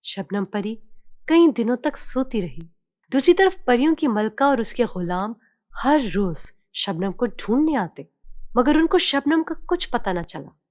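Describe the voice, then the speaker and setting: A woman reads a children's story as its narrator. Her voice is fairly high and very animated, recorded dry with no room sound. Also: unhurried, dry recording.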